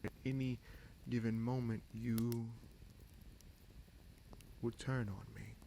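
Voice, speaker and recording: A man playing a bitter, scheming prince in a fantasy audio roleplay, speaking deliberately with sardonic menace and dramatic pauses. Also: mid-range voice, level tone, gravelly, warm tone, slight background noise. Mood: brooding, distrustful. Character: bitter, scheming bastard prince commanding a captive dragon.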